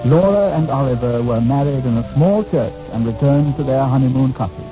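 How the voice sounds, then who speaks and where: A man's voice: medium pitch, expressive, a little fast. A man narrating a scripted 1940s radio drama. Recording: dull old radio recording, music behind.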